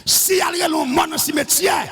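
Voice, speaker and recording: A man preaching a fiery sermon, shouting in a half-sung cadence. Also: very high voice, a little fast, vocal fry, long reverb tail, soft background music.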